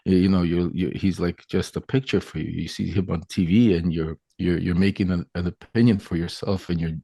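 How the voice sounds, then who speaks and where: A man in his fifties with a very low voice, recorded dry and close. He talks in a loose, rambling way, circling back with fillers and restarts as an interview guest. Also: steady tone, a little fast, creaky, dark tone, slightly rough, dry recording. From Ukraine. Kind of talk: casual interview talk.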